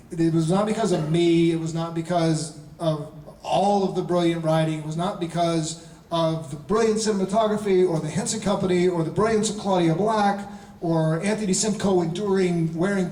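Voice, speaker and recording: A man in his forties from North Carolina, answering fan questions at a convention panel in an animated, laughing storytelling way. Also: a little high, a little hiss or hum. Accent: Charlotte, North Carolina. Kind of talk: live convention Q&A. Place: large convention hall. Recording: echoey hall from far off.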